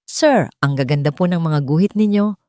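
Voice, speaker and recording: Woman as timid young maid, deferential, soft-hearted romance story dialogue, dry recording. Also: low voice, expressive, normal speed, no room sound. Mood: shy, respectful. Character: shy, respectful young housemaid who dreams of singing.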